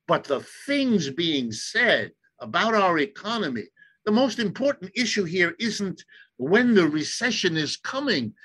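Brief: US-born man, German first language, emphatic lecturer, slightly high voice with a tense, forceful edge. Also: sing-song, husky. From United States. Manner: emphatic, lecturing, slows for stress. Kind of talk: explanatory talk.

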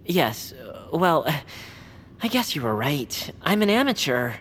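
Earnest unsure amateur director in an audio comedy, hesitant but really expressive, pitch moves around a lot. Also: female voice, a little slow, slight background noise. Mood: hesitant, dejected. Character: earnest, self-doubting amateur theatre director. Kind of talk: scripted comedic audio drama acting.

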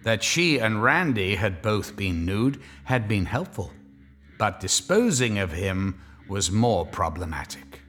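A man narrating a scripted horror story in a wry, measured, dramatic way. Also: lowish voice, very animated, a bit bright, soft background music.

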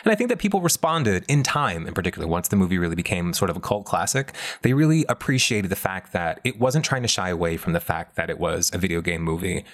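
A man talking casually and emphatically about pop culture, in a talkative, animated way. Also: deep voice, fast, hardly any pauses. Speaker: film discussion panelist.

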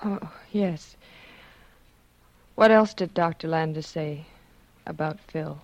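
An actress playing a scheming wife who feigns wan, gentle grief in a 1940s radio suspense drama, with dramatic, faltering phrasing. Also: female voice, deep voice, takes it slowly, dry recording, highs cut off. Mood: faint, subdued. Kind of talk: 1940s radio suspense acting and first-person narration. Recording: vintage 1940s radio broadcast, music underneath.

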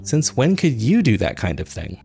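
A gruff male detective , played by a narrating man, blunt and incredulous in a podcast drama. The voice has a creaky grain and lifts above the narration, over soft music. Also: medium pitch, very animated, normal speed, voice pushed up, full character voice. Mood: incredulous, rattled. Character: alarmed, gruff, swearing male police detective.

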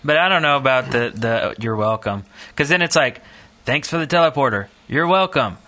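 A man's voice: medium pitch, lively intonation, moderate pace, bright, forceful tone. A man co-hosting a podcast, talking casually and informally, thinking aloud as he goes. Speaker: podcast co-host. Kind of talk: casual gaming podcast chat.

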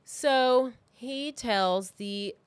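A woman's voice: a little high, drawn-out words, clean tone, no echo. A woman co-hosting a true crime podcast, telling the story informally from her notes in a conversational way.

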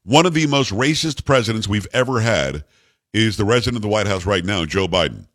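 Male talk radio host, deep bass voice, loud and upbeat, dry studio sound. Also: expressive, brisk, dry recording. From New York. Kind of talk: energetic talk radio monologue.

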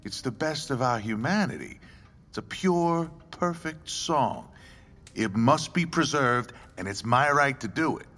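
A brash American collector in an audio drama, skeptical and obsessive. The delivery is unhurried and swings widely in pitch, husky, in a long reverberant space. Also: male voice, animated, sharp tone. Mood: reverent, intense. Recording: long reverb, faint music behind.

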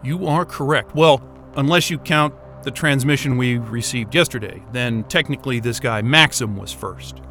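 An actor playing an irritable, excitable crewman with a sarcastic, profane streak in a science-fiction audio drama. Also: mid-range voice, moderate pace. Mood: wry. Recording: music bed behind the voice.

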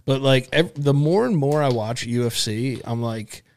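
A man's voice: mid-range voice, normal speed, darkish tone. A man co-hosting a casual podcast, talking in a laid-back, slangy way. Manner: laid-back, slangy talk with lots of "like" and "dude". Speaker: podcast co-host.

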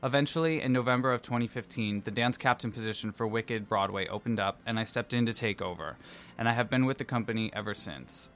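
A man's voice: lowish voice, fast. A man reading his personal essay aloud in a theatrical, playful, self-deprecating manner. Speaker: musical theatre performer and dance captain.

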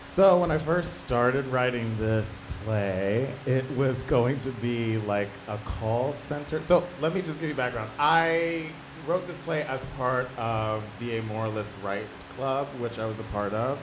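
A man with a mid-range voice answers interview questions in a casual, conversational way. The room is very echoey, with clear noise around him. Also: medium pitch, measured pace, few pauses. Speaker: playwright being interviewed. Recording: echoey, noisy live room.

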